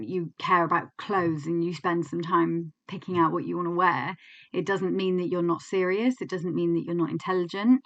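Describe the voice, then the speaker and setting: English woman in her twenties, bright forward tone, lowish pitch, candid reflective teaching talk, slightly muffled sound. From England. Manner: thoughtful, candid, many fillers and restarts. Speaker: clinical psychologist.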